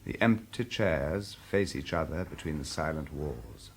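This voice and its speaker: A man narrating an audio drama in a deliberate, expressive, dramatic storytelling style. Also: extremely low pitch, slight background noise. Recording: loud music under the voice. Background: music.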